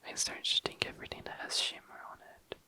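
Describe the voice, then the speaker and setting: A woman softly whispering in an unhurried way, narrating a craft session for an ASMR video in breathy, unvoiced speech. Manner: soft, unhurried, repeats phrases.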